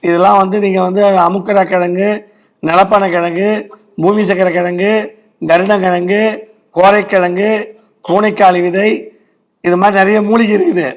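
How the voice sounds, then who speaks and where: A man's voice: high voice, little pitch movement, moderate pace, long pauses. A man with a Tamil Nadu accent, a doctor advising a caller in a blunt, explanatory way during a phone-in consultation.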